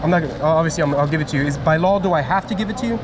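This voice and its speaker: A man from Miami filming a casual self-made vlog on his phone, halting and chatty, full of fillers and restarts, talking to camera and to officials. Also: fairly high pitch, crowd noise, noisy background. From Miami, USA. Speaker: vlogger filming a first amendment audit. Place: outdoors then in a public building lobby. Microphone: handheld phone camera.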